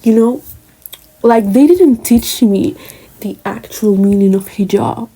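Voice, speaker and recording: A woman's voice: medium pitch, takes it slowly, warm tone, husky, poor audio. A young woman from Spain with a Moroccan family background, talking earnestly and casually to camera with many asides. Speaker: vlogger. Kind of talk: personal talk to camera.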